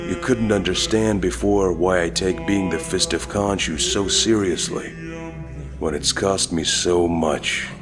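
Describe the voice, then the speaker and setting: A man playing a guilt-ridden, grim vigilante and ex-mercenary in a dramatic comic dub, brooding and terse. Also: low voice. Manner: brooding, confessional, terse under pressure. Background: background music.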